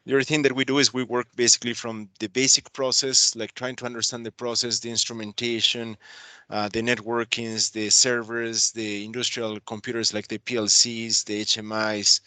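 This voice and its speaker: Peruvian-accented engineer, earnest and halting; bright voice, dry close mic. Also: male voice, medium pitch, level tone, no room sound. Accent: Peruvian Spanish. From Peru. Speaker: process control engineer. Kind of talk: webinar self-introduction.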